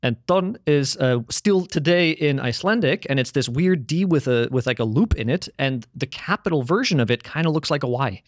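A man's voice: a little high, expressive, moderate pace, few pauses, dry recording, studio quality. A man in casual conversation, enthusiastically explaining language history with lots of restarts and the odd curse. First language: English, grew up also speaking French at home. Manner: rambling and enthusiastic, many restarts, casual swearing.

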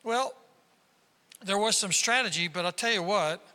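A man from South Dakota preaching a sermon as pastor, with a squeaky tenor voice and emphatic delivery that climbs into sudden loud bursts. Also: moderate pace, clean tone. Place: large church hall. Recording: reverberant hall.

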